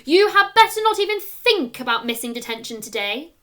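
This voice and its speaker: Woman playing a loud, angry schoolmaster. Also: sits high, sing-song, a little fast, voice pushed up, full character voice. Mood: angry, scolding. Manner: sharp, scolding. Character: stern, angry male school headteacher scolding a pupil.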